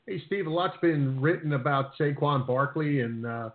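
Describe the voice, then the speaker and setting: A man's voice: a little high, moderate pace. A man hosting a sports radio show, putting questions to a guest in a casual conversational way. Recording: tinny phone-like narrow band.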